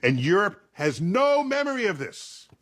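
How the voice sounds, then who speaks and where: A man explaining science in conversation as a guest, emphatic and theatrical, telling it like a story with dramatic pauses and check-in questions. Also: high-pitched, very animated, fairly bright tone.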